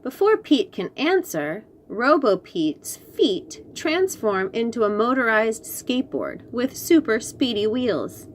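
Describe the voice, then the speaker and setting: A woman hosts a read-aloud for young children. Her pitch moves in a lively way and her pace is unhurried. She is expressive and encouraging, stepping out of the narration for chatty, teacherly asides. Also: slight background noise. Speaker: children's story reader and host.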